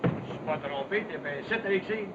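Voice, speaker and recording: A man's voice: fairly high pitch, brisk, lightly acted. A male actor playing a proud, quarrelsome lumberjack in a 1950s television drama, with a Quebec French accent and blunt, accusing rural speech. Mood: guarded, curt. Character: Big William, proud, resentful, quarrelsome lumberjack. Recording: muffled, noisy old television soundtrack.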